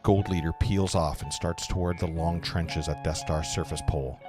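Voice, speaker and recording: A man with a low voice reads screenplay action lines aloud in an informal, slightly bumbling way. Guitar music plays clearly behind him as he narrates. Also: steady tone, normal speed. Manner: informal, stumbles over words and jokes about it. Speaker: narrator of a table read. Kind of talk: reading screenplay stage directions aloud.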